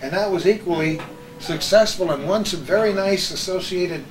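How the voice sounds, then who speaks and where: Higher-voiced American man reminiscing in echoey room. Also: moderate pace, distant mic, crowd noise. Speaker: host. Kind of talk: reminiscing conversational storytelling.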